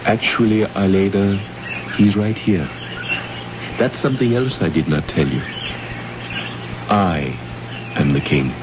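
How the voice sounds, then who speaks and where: A dignified, mysterious alien man from an old radio play, with a fairly low voice. His phrasing is formal and reserved, heard through heavy noise on a muffled recording. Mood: grave, evasive. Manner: formal, measured wording, reserved. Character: enigmatic, dignified alien guide, secretly a king. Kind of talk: vintage radio drama acting. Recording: noisy, dull old radio broadcast recording.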